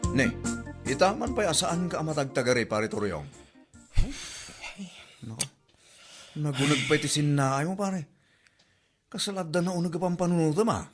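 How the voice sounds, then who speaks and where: A man's voice: a little high, expressive, normal speed, long pauses, faint music behind. A man playing a teasing drinking buddy. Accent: Ilocano. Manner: teasing, chummy.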